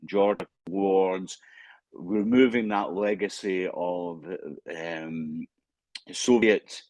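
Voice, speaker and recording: A Scottish man speaking as a panelist, pausing often with fillers and restarting his sentences. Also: sits low, fairly even intonation, a little mellow, no room sound, muffled recording. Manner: halting, frequent fillers and restarts. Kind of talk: conference panel remarks.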